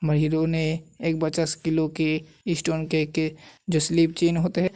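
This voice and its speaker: A man's voice: a little high, monotone, moderate pace, dark tone. A man narrating a casual story recap, stumbling over words and voicing the dialogue himself. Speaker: anime and manga story narrator.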